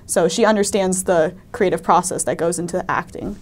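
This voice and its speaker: A woman's voice: lowish voice, normal speed. A teenage young woman, a student actor, talks casually in a panel interview, hesitating and often dropping in "like". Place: indoor panel, seated group.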